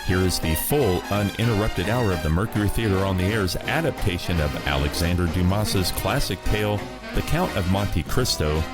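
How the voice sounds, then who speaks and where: Low-voiced guy hosting a radio show, chill and chatty. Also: animated, normal speed. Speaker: host. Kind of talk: casual radio presenting. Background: loud guitar music.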